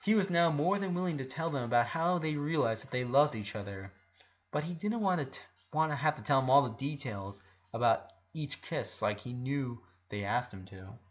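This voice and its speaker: A man's voice: medium pitch, expressive, normal speed, highs cut off. A man narrating a fan fiction story aloud in a dramatic reading style.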